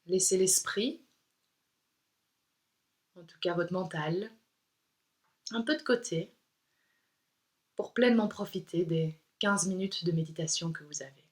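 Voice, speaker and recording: A woman yoga instructor leading a guided meditation in a calm, soothing, unhurried way with pauses. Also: fairly low pitch.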